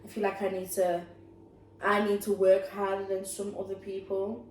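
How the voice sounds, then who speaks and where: A young woman chatting thoughtfully and softly as a podcast co-host. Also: mid-range voice, completely flat delivery, normal speed. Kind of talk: casual chat. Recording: echoey room, mic far off, faint noise.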